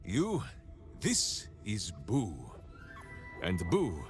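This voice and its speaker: An actor playing a hearty, simple-minded heroic warrior in a video game, speaking with theatrical, earnest grandeur. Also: male voice, lowish voice, wildly swooping pitch, very slow, heavily acted. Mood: proud, warm. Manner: grandiose, earnest third-person speech. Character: hearty, devoted, simple-minded heroic warrior man with beloved pet hamster. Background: game music.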